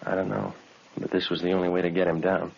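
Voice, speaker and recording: An actor playing a stoic American police detective, narrating a vintage radio drama in a clipped, matter-of-fact way. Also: male voice, deep voice, level tone, quick pace, creaky. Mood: flat, businesslike. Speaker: lead actor and narrator of the series. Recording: dull vintage radio recording with music.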